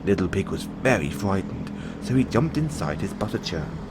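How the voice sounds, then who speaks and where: A man reading bedtime fairy tales as an audio roleplay, in measured storybook narration. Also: low-pitched, very animated, over loud music.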